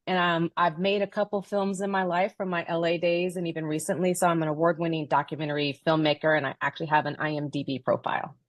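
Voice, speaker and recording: A woman executive introducing herself on an online panel in a brisk, lightly humorous way. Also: fairly low pitch, no room sound. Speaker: guest executive.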